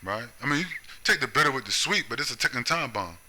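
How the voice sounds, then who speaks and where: A man from the United States speaks in a hard, piercing tone at a middle pitch. He rants bluntly and profanely in a solo monologue, driving each point home with a repeated "right?" or "you see?". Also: lively intonation, quick pace, bright, forceful tone, poor audio. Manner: confrontational, profane, keeps tagging lines with "right?" and "you see?". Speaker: video commentator.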